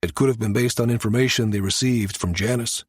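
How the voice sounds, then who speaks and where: Man acting a firm, measured security chief. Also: mid-range voice, breathy edge, dry recording, studio quality, close to an everyday voice. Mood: measured, conceding. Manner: measured, matter-of-fact, authoritative. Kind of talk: audiobook character dialogue.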